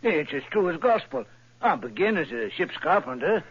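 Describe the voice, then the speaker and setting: A flustered, awestruck middle-aged client. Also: male voice, fairly high pitch, sing-song, normal speed, slightly rough. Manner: fumbling, awed, plain-spoken. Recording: dull old radio broadcast.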